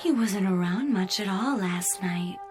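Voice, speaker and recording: A woman's voice: mid-range voice, a little slow, darkish tone, faint music behind, natural voice. An actress playing a flirtatious, loyal female spaceship crew member in a radio drama, teasing and coy in a languid way.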